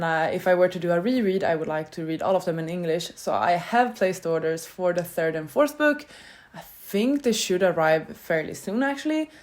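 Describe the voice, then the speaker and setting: Woman, book vlogger, lower voice, casual, rambling, unstructured chat. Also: darkish tone. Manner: chatty and rambling, loosely structured.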